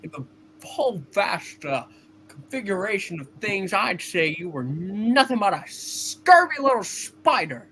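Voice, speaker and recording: A man playing an earnest, frustrated small-town young husband, halting and emotional, in a hammed-up radio play reading. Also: high voice, sing-song, sharp tone, slight background noise, full character voice, low-quality recording. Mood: indignant. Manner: halting, emotional, repeating himself.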